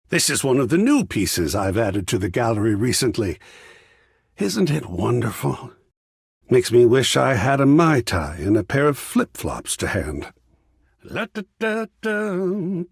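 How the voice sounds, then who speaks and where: A theatrical, effusive art curator for a video game. His pitch swoops wildly from low purrs to high exclamations, and he lingers over grand phrases at an unhurried pace. The voice is slightly husky and closely recorded, with a fussy, cultured air. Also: dry recording, studio quality, heavily acted. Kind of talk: video game character voice lines.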